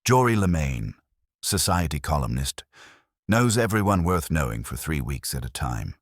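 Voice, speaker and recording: Man acting a young male schemer, low voice, lively pitch swings, clipped, sardonic, theatrical, detective audiobook. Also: normal speed, no room sound, clean studio sound, heavily acted. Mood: smug, glib. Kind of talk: audiobook detective story dialogue.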